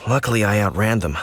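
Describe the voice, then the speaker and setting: A man's voice: on the low side, a bit bright. A man playing a flustered, indignant village delivery merchant in a video game, fretful and exclaiming. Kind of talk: animated video game voice acting.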